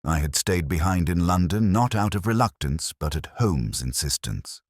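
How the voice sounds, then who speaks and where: Very deep-voiced man narrating a mystery. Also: animated, moderate pace, no echo, studio quality. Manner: solemn, literary, dramatic. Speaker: audiobook narrator. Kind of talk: scripted first-person mystery narration.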